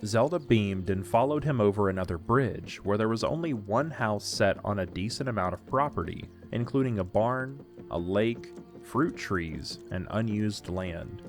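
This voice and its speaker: A man's voice: lowish voice, animated, normal speed, few pauses, faint music behind. A man narrating a scripted story for an audio drama.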